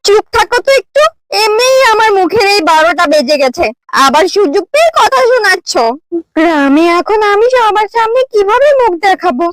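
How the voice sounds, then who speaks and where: Woman acting shrill, vain village wife. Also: animated, a little fast, low-quality recording. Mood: irritated, fretful. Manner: melodramatic, complaining. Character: vain, whiny young village wife obsessed with beauty. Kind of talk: Bengali moral-story cartoon dubbing. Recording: music behind the voice.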